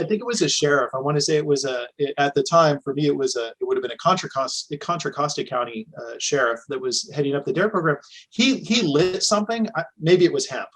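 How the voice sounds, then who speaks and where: A man's voice: mid-range voice, a bit bright, husky, poor audio. A middle-aged man from the United States, a podcast guest telling stories in casual, chatty conversation. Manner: chatty storytelling, with restarts.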